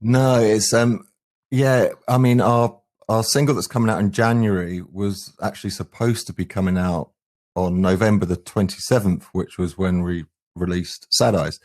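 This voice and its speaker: A man's voice: on the low side, steady tone, normal speed, a little mellow. A middle-aged man with an English accent, chatting casually as a musician guest in an interview. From England. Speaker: band frontman and guest.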